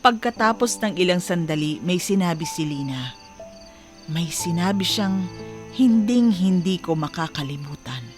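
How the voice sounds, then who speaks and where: A woman's voice: on the low side, animated, a little slow. A woman playing a radio hostess who reads a mother's letter as sentimental, earnest narration, with a Filipino accent. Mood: tender, earnest. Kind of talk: scripted dramatic narration, radio drama. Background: music bed.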